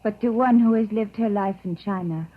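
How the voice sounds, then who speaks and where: A woman's voice: medium pitch, moderate pace, lightly acted. A woman playing a proud, guarded young newcomer from China in a radio drama, speaking formally and carefully. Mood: wistful, uneasy. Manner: formal, careful, stilted phrasing. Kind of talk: 1950s radio drama acting. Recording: muffled vintage radio recording.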